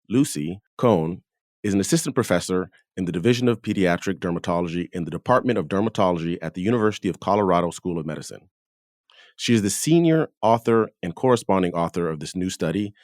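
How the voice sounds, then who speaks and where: A man's voice: on the low side, darkish tone, no echo, studio quality. A man hosting a podcast, reading scripted introductions and putting questions to a guest. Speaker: podcast host and interviewer.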